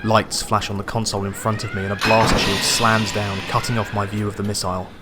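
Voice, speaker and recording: Low-pitched man narrating dramatic sci-fi horror. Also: flat delivery, fairly quick. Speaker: audiobook narrator. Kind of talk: scripted first-person sci-fi horror narration. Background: atmospheric music and sound effects.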